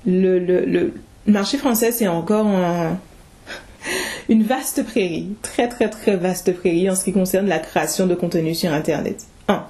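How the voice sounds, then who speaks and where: A woman's voice: on the low side, a little slow, dark tone. A young woman vlogging casually about online business, thinking aloud with restarts and rhetorical questions. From France. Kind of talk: casual talking-head vlog.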